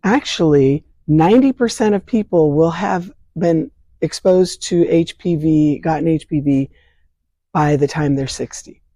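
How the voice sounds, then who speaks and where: An older hoarse woman, leader of a vaccine advocacy group, earnestly explaining health topics in an interview from home with simple analogies. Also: low voice, measured pace, dark tone, no echo. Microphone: remote interview from home.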